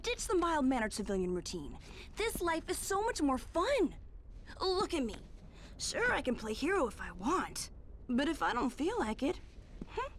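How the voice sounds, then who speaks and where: A woman's voice: high-pitched, big pitch swings, normal speed, a little hiss or hum. An actress playing a carefree, flirtatious female thief in a video game, speaking in a teasing, breezy way. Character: playful carefree female cat burglar, flirty.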